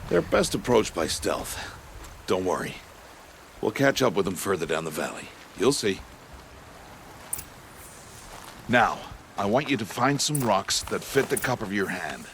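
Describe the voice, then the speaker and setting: An actor playing a stern, patient outcast man in a video game, teaching a young girl to hunt in a measured, commanding manner. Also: fairly low pitch, very animated, fairly bright tone, a little hiss or hum. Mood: encouraging. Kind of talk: dramatic video game acting.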